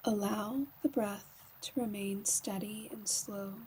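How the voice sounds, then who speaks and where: A woman guiding a slow meditation in a soft, breathy voice, often near a whisper, calm and soothing, with long pauses. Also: medium pitch, low-quality recording. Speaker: yoga nidra guide.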